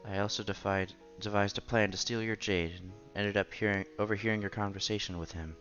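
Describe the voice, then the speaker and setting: A man's voice: fairly low pitch, fairly even intonation, fairly quick, a bit bright, dull audio. A man reading a visual novel aloud as narrator and lead, now and then stumbling over words and rereading them. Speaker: visual novel reader, channel host. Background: background music.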